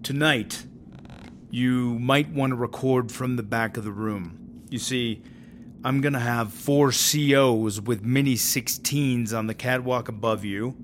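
A man playing a middle-aged, folksy prison warden who talks haltingly, clearing his throat in an audio drama interview. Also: medium pitch, slight background noise, natural voice. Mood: awkward, cautioning. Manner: hesitant, halting, full of ums and throat-clearing. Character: aging, folksy, awkward male prison warden.

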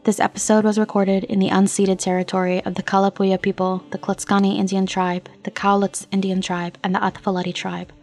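A woman's voice: mid-range voice, level tone, brisk, few pauses, darkish tone. A woman podcast host reading a scripted outro. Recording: music playing behind the voice. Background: music.

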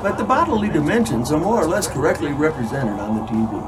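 Jovial male ghost, played by an actor, audio drama, higher voice, wordy know-it-all, showy explaining, music behind. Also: animated, quick pace, lightly acted. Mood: smug, explaining.

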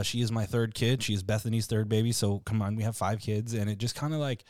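A man in his thirties with a deep voice, hosting a casual podcast chat in a relaxed, freely swearing way. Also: no echo, clean studio sound. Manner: laid-back, swears freely, laughs often. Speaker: podcast host.

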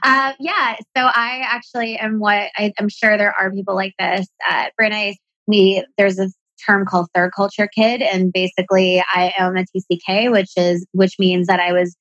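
A woman's voice: medium pitch, level tone, normal speed, few pauses, fairly bright tone, no room sound, muffled recording. A woman with an American accent, raised in Pakistan, chatting as a podcast guest, upbeat and explaining at length. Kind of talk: podcast interview.

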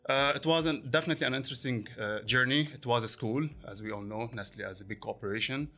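A man in his forties, a podcast guest who speaks haltingly with many fillers and restarts. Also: medium pitch, bright, forceful tone. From Lebanon. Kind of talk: conversational interview.

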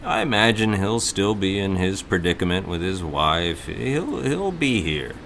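A man playing a gentle, concerned middle-aged father in an audio drama, speaking in a halting, thoughtful way. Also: deep voice, very animated, a little hiss or hum, full character voice. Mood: earnest, urging.

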